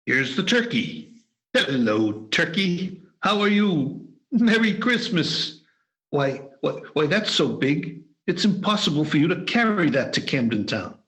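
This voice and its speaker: A man's voice: fairly high pitch, sing-song, a little slow, a bit bright, exaggerated voice, poor audio. An actor playing a giddy, overjoyed old man in a radio play, exuberant and stammering. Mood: merry, chuckling. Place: performed remotely over a video call.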